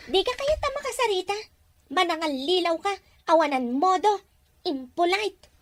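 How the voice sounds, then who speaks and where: A woman's voice: very high-pitched, animated, normal speed. A woman playing a hurt, guarded young woman in an audio drama. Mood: curt, dismissive.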